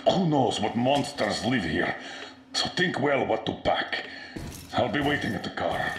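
An actor playing a gruff older colonel in a video game, terse, commanding and grave. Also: male voice, medium pitch, sing-song, a little slow, echoey room, background noise. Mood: grave, urgent. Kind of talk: dramatic video game acting.

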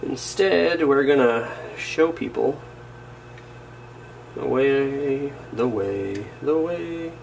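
A man of middle pitch chats his way through a build at a wooden workbench, muttering half to himself and poking fun at his own slip-ups. His talk is loose and unscripted, with a faint hiss of noise under it. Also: very slow, gravelly, poor audio. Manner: chatty, muttering to himself as he works, self-mocking asides. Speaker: hobbyist showing a workshop project. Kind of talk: casual how-to narration while working. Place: indoors at a wooden workbench or floor. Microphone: camera framed on his hands over the work.

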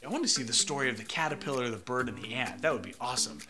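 A man's voice: medium pitch, lively intonation, very fast. A man in his thirties, reading a children's story as a co-host with comic banter. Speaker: co-host and story reader, comedian. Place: small room, indoors, seated on a sofa.

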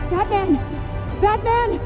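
A woman's voice: extremely high pitch, fairly even intonation, takes it slowly, recorded from a distance, loud music behind the voice. A woman playing a frightened young government agent held hostage in a video game. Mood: panicked. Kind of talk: video game dramatic acting.

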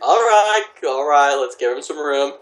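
A man's voice: on the high side, animated, a little slow. A man chatting casually with friends as he takes part in a group reading. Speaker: participant in a group fanfic reading. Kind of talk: casual chat. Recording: roomy echo, background noise, clicks.

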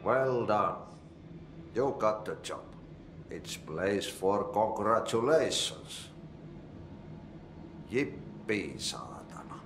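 An older Finnish janitor speaks with a thick Finnish accent and a very lively, swooping melody. He is unhurried, cryptic and folksy, like an odd game character. The room rings around him. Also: on the low side, roomy sound, a little hiss or hum, full character voice. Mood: dryly amused. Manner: folksy idioms, muttered Finnish curses. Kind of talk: video game cutscene acting.

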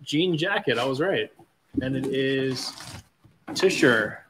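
A man's voice: medium pitch, expressive, takes it slowly, noisy background. Upbeat man hosting a live unboxing. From South Africa. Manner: upbeat, reads chat aloud. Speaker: channel host. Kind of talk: casual live-stream unboxing chat. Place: storage room stacked with boxes.

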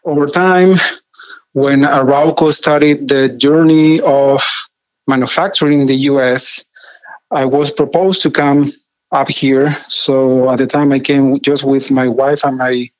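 A man in his thirties from Chile, with a Chilean Spanish accent, telling his story conversationally as an interview guest in measured, hesitant phrases. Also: mid-range voice, steady tone, no room sound, highs cut off. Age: 30s. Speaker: podcast guest, engineering project manager.

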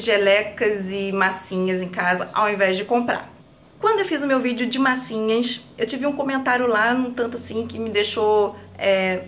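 A woman's voice: a little high, a bit bright, a little hiss or hum. A young woman from Brazil hosting a home craft vlog, chatting and encouraging parents in a casual tutorial style. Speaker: craft channel host. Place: home kitchen, indoors. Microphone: camera at close range.

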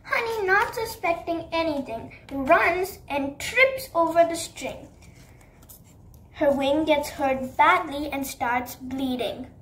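A woman hosting her channel and narrating a children's bedtime story in a slow, sing-song way. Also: sits high, fairly bright tone, slight background noise.

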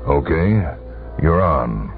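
A man's voice: a bass voice, big pitch swings, slow, voice pushed up, heavily acted. A man voicing a middle-aged general, blunt, impatient and resigned, in a radio story. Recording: tinny vintage radio broadcast, music behind.

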